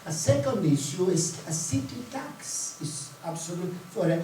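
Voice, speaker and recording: A man from Chile explains his points on a panel, often pausing on fillers. His voice is mellow and mid-pitched. He is picked up from a distance in a very echoey hall, with faint noise behind. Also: lively intonation. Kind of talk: panel discussion answers.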